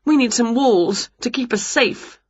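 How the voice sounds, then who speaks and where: Woman putting on an excitable, high-pitched storybook witch. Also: a little slow, slightly rough, dry recording, lo-fi sound. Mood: exasperated. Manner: exclamatory, colourful mock swearing. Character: excitable, bossy, scatterbrained cartoonish witch.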